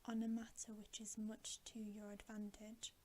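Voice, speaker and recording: A woman whispering a bedtime story in a hushed, breathy, gentle and unhurried ASMR style. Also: mid-range voice, completely flat delivery, slight background noise. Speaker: ASMR reader.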